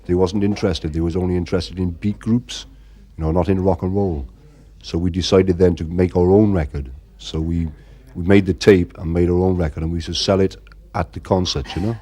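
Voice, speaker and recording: A man's voice: deep voice, fairly even intonation, fairly quick, hardly any pauses, dark tone, breathy edge. A middle-aged man from Ireland, the band's frontman, talking in a casual interview and rambling with constant "you know" fillers. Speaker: band frontman.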